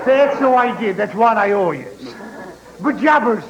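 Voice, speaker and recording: High-voiced blustery Irish police inspector. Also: male voice, expressive, moderate pace, dull audio. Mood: brisk, genial. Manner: exclamatory, folksy brogue. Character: blustery Irish police inspector, comic old-school cop. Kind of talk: 1940s radio comedy acting. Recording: noisy, crackly 1940s radio broadcast.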